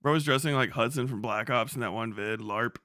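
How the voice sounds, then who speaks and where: A man's voice: mid-range voice, brisk, sharp tone, no echo, clean studio sound. A young man streaming live, commenting casually and laughing a lot while he mocks an online figure with plenty of swearing. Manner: profane, laughing often, mocking.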